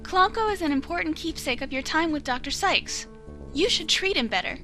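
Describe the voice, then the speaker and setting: A woman's voice: sits high, expressive, a little fast, bright, forceful tone, soft background music, full character voice. A woman playing a bubbly, caring teenage girl in a video game fan dub, perky and sincere. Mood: caring, earnest.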